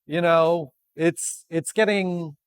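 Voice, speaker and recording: A man giving an informal, halting walk-around tech demonstration, thinking aloud as he goes. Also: fairly high pitch, steady tone. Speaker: presenter of a tech demo. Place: makerspace woodworking and tool shop. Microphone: wireless lavalier, sometimes built-in computer mic. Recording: wireless lav in noisy workshop.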